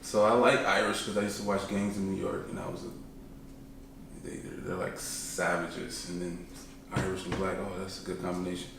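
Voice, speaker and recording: Podcast guest, young man, deep voice, easygoing and rambling, recorded in a boomy room. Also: monotone, moderate pace, creaky, background noise. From Chicago, South Side. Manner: laid-back, rambling, joking, trails off often. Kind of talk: casual conversational interview. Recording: echoey room, mic at a distance.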